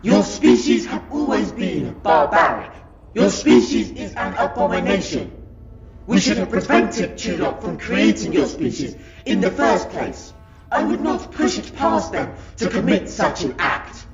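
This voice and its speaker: A haughty reptilian alien noble, performed by a man in a sci-fi audio drama. His voice is gravelly and animated, full of formal, pompous scorn. It sounds dull and echoey, buried under very loud music. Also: fairly high pitch, unhurried, full character voice. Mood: disgusted. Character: haughty, contemptuous reptilian Silurian male in royal court.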